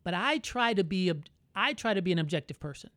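A man with an American accent co-hosting a podcast, talking in a candid, reflective and measured way. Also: sits high, expressive, a bit bright, no echo. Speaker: podcast co-host. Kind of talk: casual conversational podcast.

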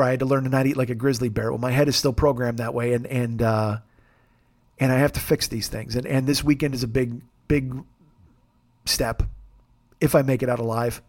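Gravelly-voiced man rambling on his podcast. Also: mid-range voice, fairly even intonation, fairly quick. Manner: self-deprecating, profane, jokey asides. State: worn voice. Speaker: podcast host. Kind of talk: rambling solo podcast monologue.

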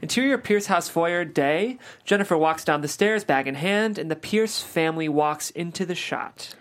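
A mid-pitched voice reads scene headings and stage directions. The delivery is plain and matter-of-fact. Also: animated, moderate pace, fairly bright tone. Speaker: narrator reading stage directions. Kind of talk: scripted narration.

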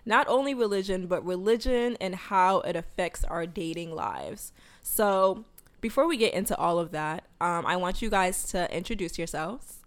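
A woman's voice: lowish voice, lively intonation, normal speed. A woman in her twenties hosting a podcast in a casual, conversational way. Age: 20s. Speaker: podcast host.

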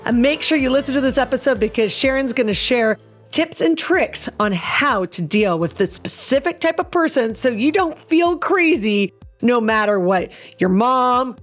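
A woman's voice: on the high side, expressive, moderate pace. Upbeat woman announcing a podcast intro. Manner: upbeat, promotional. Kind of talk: promo intro over music. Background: music bed.

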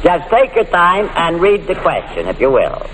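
An actor playing an exasperated, sarcastic quizmaster in an old radio comedy, full of wisecracks. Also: male voice, on the high side, wildly swooping pitch, normal speed, fairly bright tone. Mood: polite. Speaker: quiz show host. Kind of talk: 1940s radio comedy acting. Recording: noisy old radio recording.

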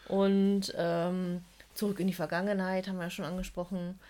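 A woman's voice: fairly low pitch, little pitch movement, moderate pace, mellow. A woman co-hosting a casual podcast, chatty and blunt. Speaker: podcast co-host. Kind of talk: casual chat about audio dramas.

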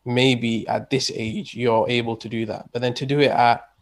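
Man, mid pitch, conversational guest who rambles and restarts often. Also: fairly even intonation, normal speed. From England. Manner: rambling, many restarts and "you know"s. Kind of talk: casual conversation.

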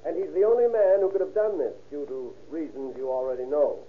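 An earnest male prosecutor played by a radio actor pleads formally to the court with emphatic delivery. His voice sits a little high, and the old broadcast sounds narrow and tinny. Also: a little fast, slight background noise, close to an everyday voice. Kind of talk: old-time radio courtroom drama acting. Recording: tinny, band-limited old radio recording.

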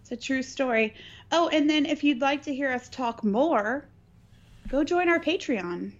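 A woman's voice: high-pitched, animated, measured pace, dull audio. A woman co-hosting a podcast in casual, profane, joking chat, with a scratchy, partly lost voice. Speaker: podcast co-host.

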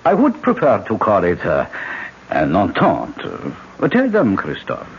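An actor playing a suave, scheming older generalissimo with an urbane, oratorical manner in a radio drama. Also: male voice, lowish voice, huge pitch swings, measured pace, husky, full character voice. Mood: smooth, pompous. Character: suave, scheming elder generalissimo, falsely courteous. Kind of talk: old-time radio drama acting. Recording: dull old radio broadcast.

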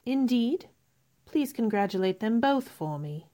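Woman playing a high-voiced, spirited young lady. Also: animated, a little slow, mellow. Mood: coolly polite. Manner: polite but firm, pointed. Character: spirited, self-possessed young woman, stubborn and politely defiant. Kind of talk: radio theater acting.

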